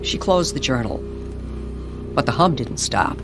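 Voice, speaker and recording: A woman narrating a mysterious story in old-time radio style, deliberate and suspenseful, with dramatic pauses. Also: rumbling low, animated. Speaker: co-narrator of an audio story series. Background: music under the voice.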